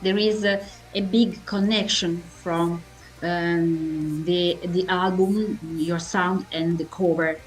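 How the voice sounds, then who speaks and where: Slow, halting Italian woman interviewer. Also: lowish voice, fairly even intonation, soft background music, rough recording. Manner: halting, searching for words. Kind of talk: interview questions.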